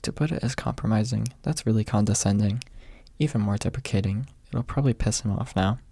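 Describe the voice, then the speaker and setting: A man performs a brash young man from a fantasy storybook, sarcastic in temper. The voice is husky and creaky, delivered softly in an ASMR style. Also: fairly low pitch, brisk, dry recording. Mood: annoyed. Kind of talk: soft ASMR character reading.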